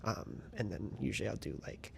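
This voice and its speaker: Guy doing a chatty writing vlog, super gravelly fry voice, gets whispery sometimes. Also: lowish voice, normal speed. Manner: chatty and excitable, trailing into soft whispery asides. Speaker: writing vlogger. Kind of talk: casual diary-style vlog.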